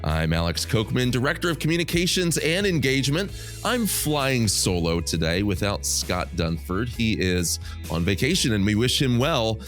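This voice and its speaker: A male podcast host, getting over a cold that drops his voice lower than usual, giving an upbeat scripted welcome and interview intro. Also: very animated, moderate pace, faint music behind. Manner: upbeat, promotional, warmly welcoming.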